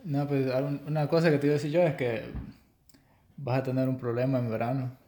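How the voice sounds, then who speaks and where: A man's voice: mid-range voice, flat delivery, a little fast, warm tone. A man hosting a casual podcast chat, teasing his friend in banter as he leads the questions. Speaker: podcast host.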